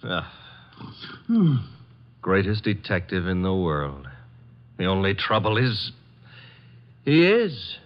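Brash young male detective's sidekick, American, slangy and wisecracking, lively swings in pitch, dull 1950s radio sound. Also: slow pace, long pauses, full character voice. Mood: exasperated. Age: young adult. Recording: dull vintage radio broadcast recording.

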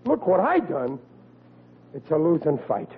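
A man's voice: fairly high pitch, huge pitch swings, a little slow. An actor playing a blustering, stubborn working-class father in a 1940s radio sitcom, with emphatic, ungrammatical talk. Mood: indignant. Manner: emphatic, ungrammatical, exasperated blue-collar talk. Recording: tinny, noisy vintage radio recording.

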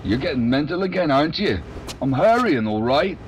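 An actor in an audio drama playing a brash, teasing drinking buddy who banters in slang. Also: male voice, on the high side, big pitch swings, brisk, soft background music, rough recording. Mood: mildly concerned.

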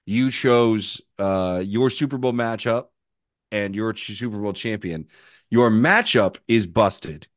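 A man with a hoarse, worn voice, co-hosting a casual sports podcast and needling his friend. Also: lowish voice, slow pace, no room sound, clean studio sound. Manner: teasing, needling his co-host. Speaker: podcast co-host.

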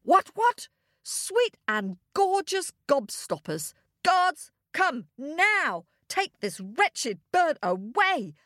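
A woman's voice: extremely high pitch, very animated, very slow, a bit bright, dry recording, clean studio sound, voice pushed up, heavily acted. A woman voicing a pompous, grumpy king who wants his sleep, blustering and commanding in a children's story. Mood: exasperated.

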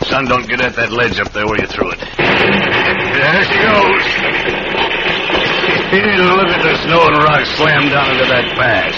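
Bossy scheming western villain, mid-pitched, folksy, very noisy muffled vintage radio drama. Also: male voice, lively intonation, slow pace, long pauses. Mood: excited, gloating. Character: crooked, scheming railroad marshal, murderous gang boss. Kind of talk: old-time radio western acting.

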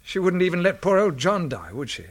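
High-pitched, placating British husband. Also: male voice, very animated, a little fast. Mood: guilty, subdued. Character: guilt-ridden, placating middle-aged husband and father. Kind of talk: British supernatural screen drama acting.

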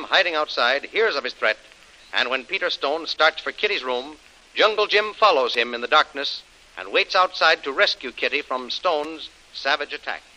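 A man's voice: a little high, sharp tone, low-quality recording. A man announcing and narrating a 1930s radio serial in a dramatic, declamatory style on a vintage recording.

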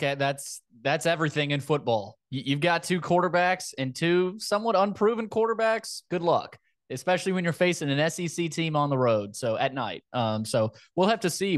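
A man's voice: a little high, no echo, studio quality. A man hosting a sports podcast in casual talk, often repeating phrases for emphasis.

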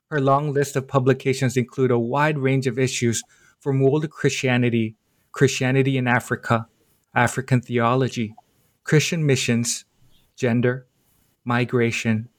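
A man hosts a podcast interview and reads out a formal, measured introduction of his guests. His voice sits at an ordinary middle pitch. The sound is dry and close, with no room around it. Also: dry recording. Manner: measured, formal delivery with occasional slips. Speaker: podcast host.

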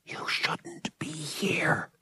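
A man voicing an earnest, devout young female pilgrim in an audiobook, reserved, wistful and softly spoken. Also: on the high side, wildly swooping pitch, drawn-out words, husky, dry recording, in a whisper, studio quality, voice pushed up, heavily acted. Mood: hushed, guarded. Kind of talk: audiobook character acting.

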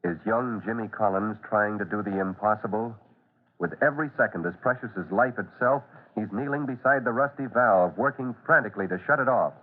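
A man's voice: fairly low pitch, brisk, narrow, tinny audio. A man narrating an old-time radio serial as its announcer, with urgent, emphatic, theatrical delivery. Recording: thin, band-limited old radio recording.

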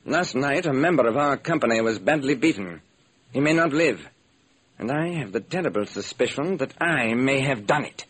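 A man playing a nervous, middle-aged horror film actor in a radio drama, halting and melodramatic. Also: mid-range voice, animated, fairly bright tone, breathy edge. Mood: grave, fearful. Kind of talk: classic radio drama acting.

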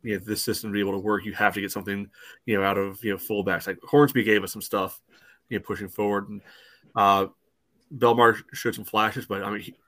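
Bright, even-toned man talking soccer. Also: fairly low pitch, quick pace, dry recording. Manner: hesitant phrasing peppered with "you know". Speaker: sports podcast panelist. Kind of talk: casual soccer analysis.

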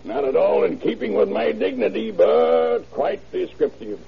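Creaky, high-pitched old crook. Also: male voice, big pitch swings, moderate pace, over a noisy crowd, full character voice. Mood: cocky, gleeful. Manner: chuckling, boastful, mock-dignified. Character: cheerful cocky old career forger and crook. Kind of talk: 1940s-50s radio crime drama acting. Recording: muffled old radio recording, crackly background din.

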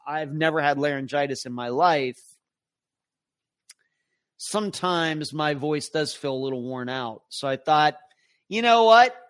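A man's voice: fairly high pitch, a bit bright. A man hosting a live podcast, reading out listener comments and answering them in a casual, rambling way. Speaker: podcast host.

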